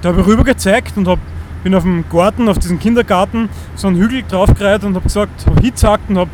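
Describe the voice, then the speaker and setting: An Austrian man in his thirties with a fairly high voice speaks in a loose, earnest monologue. He often stumbles and restarts as he hunts for the right words. Faint street and car noise sits behind him outdoors. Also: darkish tone. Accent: Austrian German. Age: 30s. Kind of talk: casual rambling monologue.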